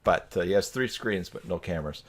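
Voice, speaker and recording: A man's voice: low-pitched, animated, fairly quick. A man hosting a casual live-stream chat over a video call, teasing with dry humour.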